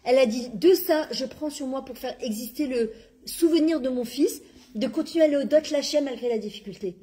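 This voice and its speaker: Woman, religious class, emphatic and exclaiming, higher voice, mellow tone. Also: a little fast. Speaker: religious teacher.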